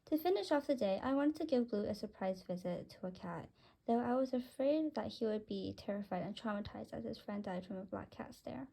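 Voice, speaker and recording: A woman's voice: mid-range voice, expressive, a little fast, vocal fry, dark tone, low-quality recording. A woman narrating a scripted first-person story, reading it aloud.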